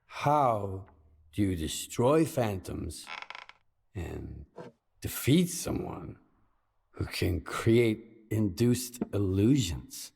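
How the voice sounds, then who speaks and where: A man's voice: on the low side, sing-song, very slow, gravelly, a little mellow, husky, big-room sound, full character voice. An actor playing a terse, determined young male mercenary wanderer in a video game, stoic and sober in manner. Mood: firm, questioning. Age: young adult. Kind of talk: video game cutscene acting.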